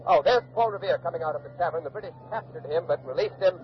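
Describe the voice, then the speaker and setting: A man's voice: fairly high pitch, quick pace, lightly acted. A man acting an earnest on-the-scene reporter in a 1940s radio docudrama, describing events in an articulate eyewitness style. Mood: measured, observant. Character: earnest male radio field reporter at a colonial standoff. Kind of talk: 1940s radio docudrama live news commentary. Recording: dull old radio recording with hiss.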